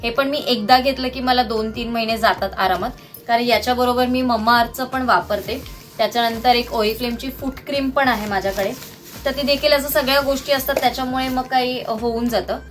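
A woman speaks in a fairly high voice. She talks casually to her viewers, going through things one by one in an explanatory way. Faint music plays behind her as she records in a room at home. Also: fairly even intonation, normal speed. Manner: explanatory, itemising. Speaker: vlogger. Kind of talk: casual product haul chat to viewers. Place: small indoor room at home, seated on the floor.

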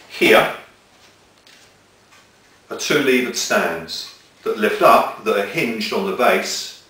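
A man's voice: on the low side, fairly bright tone, far from the mic. A middle-aged man with a methodical, step-by-step manner, presenting and demonstrating outdoor cooking gear at a table. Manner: methodical, explains step by step while handling gear. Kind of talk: hands-on equipment demonstration. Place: small indoor room with table of gear. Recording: echoey room, mic set back.

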